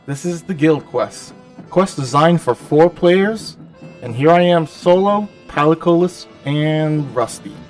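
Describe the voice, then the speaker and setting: A man with a cold and a nasal, slightly gravelly voice, giving chatty, self-deprecating voice-over commentary over gameplay. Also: a little high, a little slow, distant mic, faint music behind. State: has a cold. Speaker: gaming channel host.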